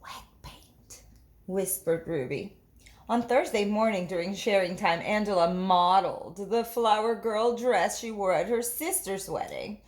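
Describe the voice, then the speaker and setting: A woman's voice: mid-range voice, unhurried. A woman teacher reading a picture book aloud, narrating and putting questions to young listeners. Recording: roomy echo.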